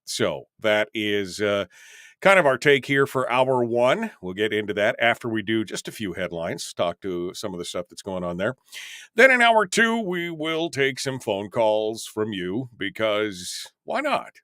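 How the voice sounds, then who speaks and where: A man's voice: on the low side, very animated, unhurried, sharp tone, no echo. Man, radio host, casual and rambling, lots of fillers, jokey, dry close sound. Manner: rambling, filler-heavy, jokey and self-deprecating. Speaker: radio talk show host. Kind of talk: casual live talk radio. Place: radio studio.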